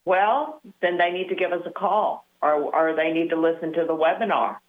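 A woman's voice: on the low side, moderate pace. A woman in her sixties, a Medicare advisor, explaining things matter-of-factly as a guest on the show. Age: 60s. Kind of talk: phone-in interview. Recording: tinny phone line.

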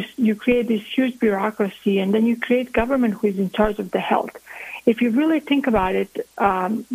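A woman's voice: medium pitch, darkish tone, no echo, rough recording. A woman from Croatia with a Croatian accent, a family physician earnestly explaining her views as an interview guest. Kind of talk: interview answers.

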